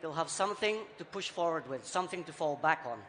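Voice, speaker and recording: A man with a Scottish accent softened by years abroad, giving a punchy, rhetorical conference talk in short, repeated phrases. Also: fairly high pitch, lively intonation, quick pace. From Scotland. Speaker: conference speaker. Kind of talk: keynote talk on stage. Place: large conference hall. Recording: reverberant hall, faint background noise.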